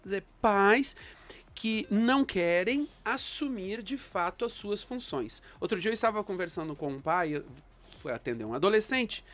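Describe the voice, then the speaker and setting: A man, a guest psychologist on a panel, speaking in a measured, reflective way with frequent fillers. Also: sits high, animated, a bit bright. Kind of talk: thoughtful panel discussion.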